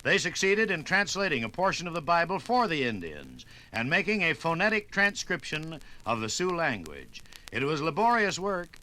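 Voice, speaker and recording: A man's voice: fairly high pitch, very animated, normal speed, few pauses, sharp tone, poor audio. A man narrating a scripted 1950s radio documentary with dramatic, oratorical phrasing. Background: music.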